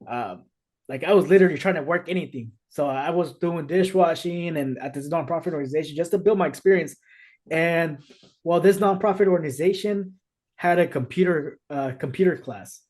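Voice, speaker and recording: Podcast host, male, native Spanish speaker, higher-pitched, earnest and rambling delivery on a video call. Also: darkish tone. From United States. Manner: earnest storytelling, many restarts. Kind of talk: casual conversation.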